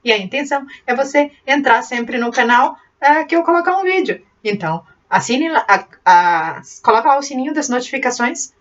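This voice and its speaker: A woman's voice: high-pitched, expressive, moderate pace, hardly any pauses, recorded from a distance. A woman with a mixed Brazilian and European Portuguese accent, hosting a beginners' computer channel. She talks in a chatty, encouraging way, full of fillers and restarts. The voice sits a little back from the mic in a dull, lo-fi home recording. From born in Brazil, raised in Portugal. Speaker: computer tutorial channel host. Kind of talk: casual talk to camera about her channel.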